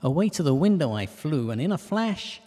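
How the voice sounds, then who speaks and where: A man narrating scripted comic rhyming verse in a measured, deliberate storytelling delivery. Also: a little high, big pitch swings, warm tone. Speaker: narrator of a comic detective podcast. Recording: long reverberant hall echo.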